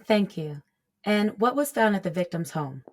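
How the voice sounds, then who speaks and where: An actress playing a tough, cool prosecutor, speaking formally and with measured probing in a courtroom audio drama. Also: female voice, mid-range voice, expressive, darkish tone, natural voice. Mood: businesslike. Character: tough, cool female prosecutor working the witness.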